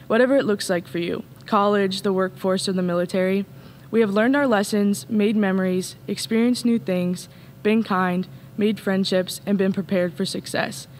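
A woman's voice: mid-range voice, fairly even intonation, moderate pace, a little mellow, slight background noise. A teenage girl earnestly reading her graduation speech at a podium in an empty auditorium. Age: late teens. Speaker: student speaker. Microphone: podium mic.

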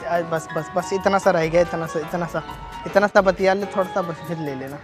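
A young man with a noticeably high voice and a Bhojpuri accent talks in a cheeky, rustic, teasing way, as a prankster chatting on the phone. He is recorded outdoors, with music underneath. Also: steady tone, a little fast. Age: young adult. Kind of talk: staged loud phone chat for a street prank. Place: outdoors in a leafy park.

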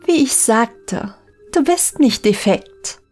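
A woman playing a calm researcher in an audio drama, measured and official. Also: a little high, soft background music. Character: calm female researcher questioning a test subject.

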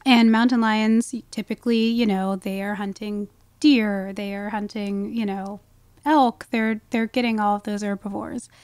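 A woman's voice: mid-range voice, fairly even intonation, a little slow, darkish tone, clear, resonant tone, no echo. A woman speaking as an expert guest in a conversational podcast interview, explaining things with frequent "you know" asides. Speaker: guest wildlife ecologist.

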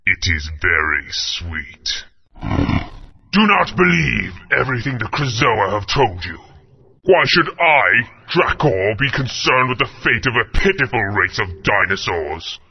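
The menacing villain Dracor. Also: male voice, fairly low pitch, big pitch swings, unhurried, gravelly, husky, full character voice. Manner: grave, threatening. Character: menacing villain sorcerer Dracor, male adult. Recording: lo-fi game audio.

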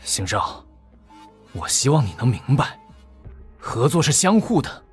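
Guy as a proud man swallowing his anger, firm, lively intonation, music under it, audiobook drama. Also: a little slow. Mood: restrained. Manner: low, restrained, firm. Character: proud male associate restraining his anger.

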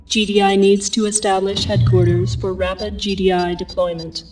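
Casting: actress as monotone female battle computer for game briefings, impersonal and clipped, slightly distant over faint music. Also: mid-range voice, moderate pace, lightly acted. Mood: flat, official. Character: emotionless female military computer briefing a commander. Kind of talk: scripted mission briefing.

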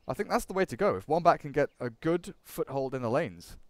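English guy calling a game draft, fairly high clear voice, dry close mic, chatty and quick. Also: expressive, dry recording. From England. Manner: chatty, quick asides, repeats words. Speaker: esports commentator. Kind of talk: esports draft commentary.

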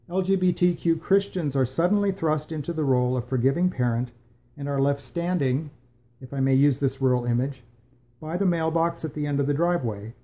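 A man in his sixties reading a reflective piece aloud for a worship service, with measured, expressive phrasing and deliberate pauses. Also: fairly high pitch. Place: small room at home, indoors.

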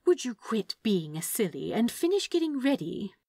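Lady putting on a prissy gentleman angel for a story, polite n fussy, pitched up and lilting. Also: sing-song, moderate pace, slightly rough, no room sound, clean studio sound, exaggerated voice. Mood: mildly exasperated. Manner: fussy, polite, cooing, tutting. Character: fussy, prim, doting male angel. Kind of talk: storybook character voice in fan fiction reading.

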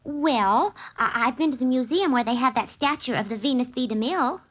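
A woman playing a naive, scatterbrained young secretary, wide-eyed and slow on the uptake, in a 1940s radio drama. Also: high-pitched, fairly bright tone, breathy edge. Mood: puzzled, innocent. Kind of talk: 1940s radio comic-relief acting. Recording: dull vintage radio recording.

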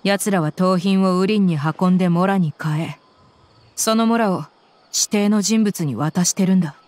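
A woman's voice: fairly low pitch, moderate pace, darkish tone, dry recording, natural voice. An actress playing a tough, confident young woman mercenary in a Japanese anime game, with blunt, intimidating phrasing. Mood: focused.

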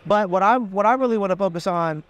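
A man's voice: high-pitched, fast talker, lo-fi sound. A man in his thirties hosting a casual interview at an expo booth, chatty and enthusiastic with loose restarts.

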